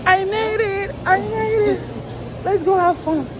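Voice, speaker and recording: A high-voiced woman in her thirties vlogging on the move, talking chattily into a phone she holds close. Her voice sits high and bright. Around her are loud crowd chatter and clear traffic and street noise from a busy station. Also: measured pace. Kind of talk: casual walking vlog. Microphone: handheld phone held close.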